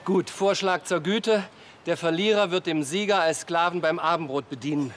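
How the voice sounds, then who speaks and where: An actor playing a pompous, boastful middle-aged teacher in a TV series, commanding and self-important. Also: male voice, on the high side, normal speed, a bit bright, slight background noise, lightly acted. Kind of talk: TV series acting. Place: outdoor castle courtyard. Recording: outdoor location sound with background ambience.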